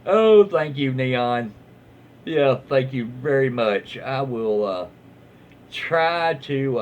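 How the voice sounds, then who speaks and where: A man with a Southern US accent and a husky voice reading online posts aloud in a casual, comedic, easily amused way. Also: mid-range voice, muffled recording, slight background noise.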